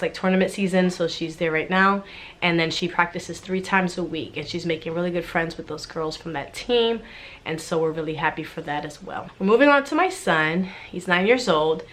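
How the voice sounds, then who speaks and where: A woman's voice: lowish voice, fairly bright tone. A woman in her thirties, a homeschooling mom vlogger, chatting casually to viewers with a congested, stuffy voice from a cold. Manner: chatty, friendly, talks straight to viewers. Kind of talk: casual chat to camera.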